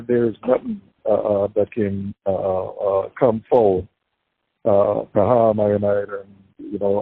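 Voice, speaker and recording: Older man, halting, on a tinny phone line. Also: on the low side, vocal fry, breathy edge, dry recording. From Bahamas. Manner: halting, many fillers and repeats, devout. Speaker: interview guest, prisoner. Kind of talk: casual interview talk. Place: prison. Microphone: prison phone call.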